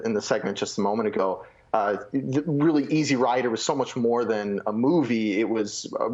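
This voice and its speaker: A man giving unscripted interview commentary as a film reporter, halting and repeating words. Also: lowish voice. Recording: dull lo-fi remote link.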